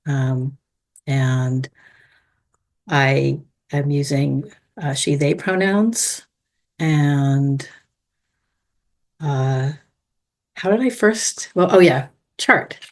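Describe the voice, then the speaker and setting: A woman with a notably deep voice talks very slowly, wandering through her thoughts and circling back to find the right words. She is a podcast guest on a remote call, in a relaxed conversational manner. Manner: meandering, thoughtful, searching for words. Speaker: guest, astrology student.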